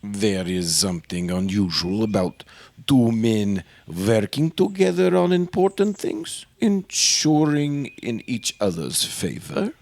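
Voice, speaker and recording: Secretive older male professor, played by a man for radio drama: formal, haughty, intense, deep-pitched and deliberate. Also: big pitch swings, a little mellow, heavily acted. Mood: guarded, formal. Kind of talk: old-time radio drama acting.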